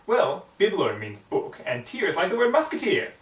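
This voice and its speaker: A man voicing a young female unicorn librarian, earnest, fussy and easily excited, in a story reading. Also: high-pitched, normal speed, roomy sound, far from the mic, pitched above the performer's own voice. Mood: gushing, excited. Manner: exasperated, fussy, prone to gushing.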